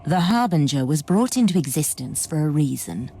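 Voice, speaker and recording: A woman playing a serene, superior alien harlequin in a video game, speaking slowly in condescending riddles. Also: low voice, very animated, mellow, slightly rough, full character voice. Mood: cryptic, grave. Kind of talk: dramatic video game acting.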